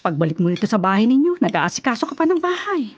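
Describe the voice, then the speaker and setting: A woman's voice: on the high side, very animated, quick pace, dull audio. An actress playing an older, worried and caring Filipino mother in a radio drama, fussing and nagging at her grown son. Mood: concerned.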